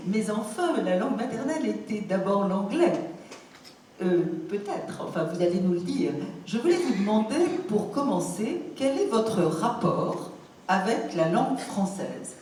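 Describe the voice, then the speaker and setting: A woman moderating a formal stage interview, speaking in an expressive, emphatic way. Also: mid-range voice, measured pace. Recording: echoey hall, distant mic, faint noise.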